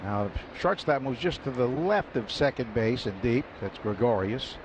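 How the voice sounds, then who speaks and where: A man calling a baseball game live on radio, his voice jumping up in pitch and volume on big plays. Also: animated, normal speed. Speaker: radio play-by-play announcer. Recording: lo-fi radio broadcast with loud ballpark noise.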